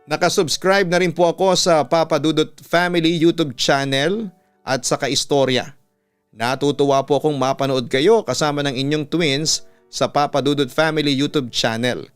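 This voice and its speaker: A Filipino man reading listener letters as a radio storytelling host, with a smooth, clear voice. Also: on the high side, fairly even intonation, moderate pace. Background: instrumental music.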